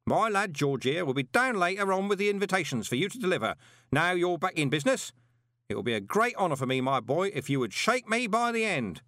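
A man voicing a loud, hearty elderly shopkeeper who bellows everything with no sense of volume. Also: sing-song, brisk, no echo, studio quality, exaggerated voice. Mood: bellowing. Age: older. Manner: bellowing, booming, no volume control.

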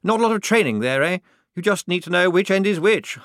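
A man's voice: fairly high pitch, sing-song, normal speed, bright, forceful tone, dry recording, clean studio sound, voice pushed up. A man voicing a nervous, pompous but well-meaning army lieutenant in an audiobook, speaking in a politely chipper, hesitant way.

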